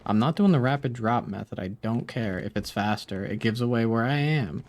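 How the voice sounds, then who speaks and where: A man's voice: mid-range voice, normal speed, a little mellow. A man live-streaming a game, chatting casually, swearing now and then, reacting to play and reading chat aloud, a bit sniffly.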